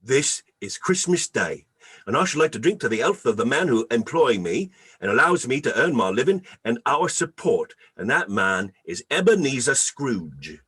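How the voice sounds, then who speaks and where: A man playing a humble, kindly poor clerk and loving husband in a radio play, gentle and earnest. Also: medium pitch, sing-song, moderate pace, slightly rough. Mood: gently insistent. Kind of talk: radio play acting, live reading.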